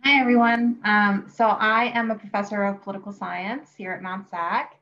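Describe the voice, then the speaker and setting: A woman in her thirties, a political science professor, introducing herself in a friendly, measured way on a video call. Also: mid-range voice, fairly even intonation, sharp tone, lo-fi sound. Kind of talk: panel self-introduction. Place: home room with wall map, video call. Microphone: webcam on video call.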